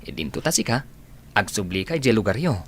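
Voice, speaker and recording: A man's voice: fairly low pitch, very animated, a little fast. A man playing a sincere, concerned young friend in an Ilocano radio drama.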